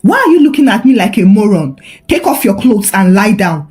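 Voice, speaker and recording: A woman's voice: medium pitch, very animated, brisk, darkish tone, close to an everyday voice. Woman voicing a cruel, menacing folktale grandmother. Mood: barking, harsh. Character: cruel, cold, domineering evil grandmother in a folktale.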